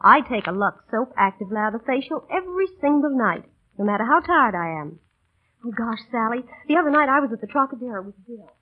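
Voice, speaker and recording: A woman's voice: fairly high pitch, animated, fairly quick, husky. An actress playing a chatty, enthusiastic young woman in a 1930s radio commercial. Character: chatty young woman demonstrating a beauty routine. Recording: tinny old radio recording.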